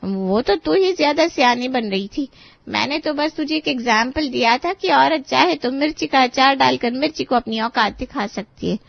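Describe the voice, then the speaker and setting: A woman's voice: sits high, lively intonation, moderate pace, gravelly, dry recording, heavily acted. A woman playing a dramatic, scolding mother. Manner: theatrical, lecturing. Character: bride's middle-aged mother, dramatic and scolding. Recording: dry, slightly muffled studio voice.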